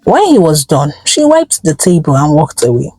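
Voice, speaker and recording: A Nigerian woman narrating a folktale in a theatrical, expressive style, drawing out words and exclaiming as she goes. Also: low voice, moderate pace, slightly rough, faint music behind. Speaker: storyteller. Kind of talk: dramatic folktale storytelling narration.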